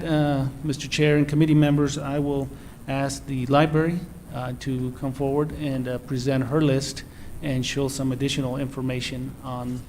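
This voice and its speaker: A man's voice: mid-range voice, a little mellow, a little hiss or hum. A man on city staff introducing an agenda item to a committee, formal and filled with uhs. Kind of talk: public meeting presentation. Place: city council chamber.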